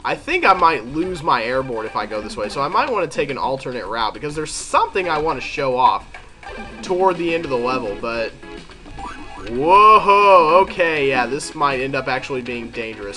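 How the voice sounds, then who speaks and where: A man's voice: mid-range voice, lively intonation, moderate pace. A man with a head cold, sounding congested, chatting and thinking aloud as he commentates a video game. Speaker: let's play commentator. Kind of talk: casual gameplay commentary. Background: game music.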